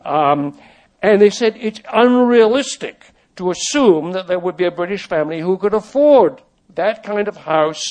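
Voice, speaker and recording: An older man whose first language is French, telling stories as an interview guest in a halting, rambling way full of restarts. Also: high-pitched, lively intonation, darkish tone. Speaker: interview guest, former child actor. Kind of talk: conversational storytelling.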